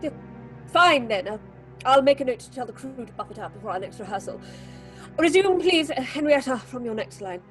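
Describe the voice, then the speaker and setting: A woman acting in an audio horror drama as a proud, haunted theatre director, husky and commanding in a clipped, theatrical way. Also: high voice, animated, a little fast, soft background music. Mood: tense, curt. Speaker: actress.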